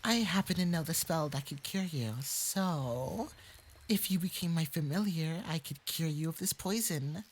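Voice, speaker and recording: A man playing a young kitsune fox boy who is sweet, polite and quietly possessive, speaking gently and hesitantly in an intimate ASMR roleplay with a soft, breathy voice. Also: fairly high pitch, animated, unhurried, slight background noise. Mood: explaining, careful. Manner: gentle, hesitant, coy, conversational.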